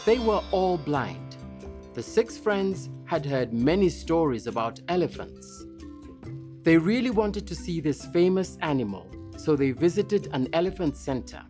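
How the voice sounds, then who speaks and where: A kindly, firm storybook auntie, voiced by a woman, telling tales in a measured, soothing manner. She takes her time, with expressive pitch and music in the background. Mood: soothing storytelling. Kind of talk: children's storybook character voice.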